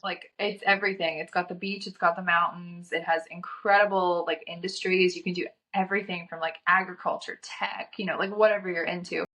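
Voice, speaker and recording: A young woman from North Carolina, a guest chatting casually, with a slightly scratchy, raspy throat from a cold and a chatty way of talking full of "like". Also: lowish voice, flat delivery, fairly quick, hardly any pauses, bright, forceful tone. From North Carolina, USA. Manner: chatty, frequent "like" filler. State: getting over a cold. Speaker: fashion model guest. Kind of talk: casual chat.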